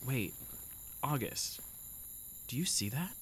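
A woman's voice: very deep voice, lively intonation, takes it slowly, slight background noise, exaggerated voice. A young woman playing a bold, curious, impulsive teenage best friend in an audio drama. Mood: curious, wary.

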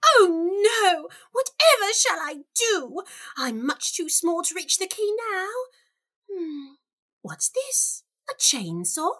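A woman acts out a curious, impulsive young girl from a comic audio storybook. The voice sits high and swoops dramatically, unhurried in its delivery. It is prim and theatrical, full of exaggerated wonder. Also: big pitch swings, fairly bright tone, full character voice. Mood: distressed.

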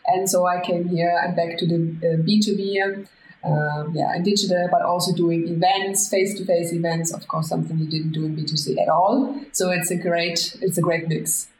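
A woman's voice: lowish voice, little pitch movement, moderate pace, a little mellow. A woman with a German accent answering casual interview questions in a conversational way. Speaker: interview guest, marketing manager. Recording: echoey room, faint background noise.

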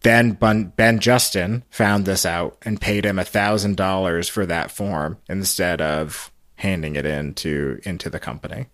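A man hosting a podcast, recapping a story in a casual, halting, explanatory way. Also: low voice, fairly even intonation, a bit bright, dry recording, studio quality. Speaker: podcast host.

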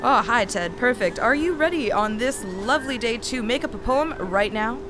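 Actress as a perky, high-voiced street reporter. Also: female voice, lively intonation, normal speed, close to an everyday voice. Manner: perky, over-polite. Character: chirpy, impatient female roving radio poetry correspondent. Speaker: street interviewer. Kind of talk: comic radio street interview. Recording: noisy street ambience.

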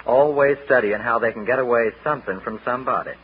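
Muffled radio drama. Actor as easygoing but touchy gallant, folksy, medium-pitched voice. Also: male voice, fast, slight background noise, lightly acted. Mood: folksy, casual. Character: easygoing, proud, gallant Southern gentleman, touchy about honor. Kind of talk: 1940s radio drama acting. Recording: muffled 1940s radio recording.

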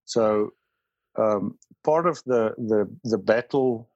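A South African man, Afrikaans his first language, talking as a church leader in an interview. His voice sits on the low side and the sound is dry and close. He speaks in bursts, thinking aloud with frequent fillers and restarts. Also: slow pace, darkish tone, dry recording, dull audio. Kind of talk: interview conversation.

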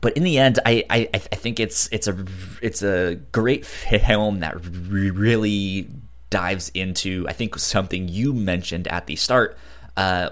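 A man with a stutter and a halting delivery, casually discussing films as a podcast co-host. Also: lowish voice. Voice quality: stutter, repeats first sounds of words. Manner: halting, hesitant delivery with frequent restarts.

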